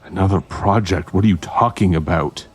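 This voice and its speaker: An actor playing a grim, protective male investigator who talks tersely and deliberately in an audio drama. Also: sits low, breathy edge. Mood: grim, probing.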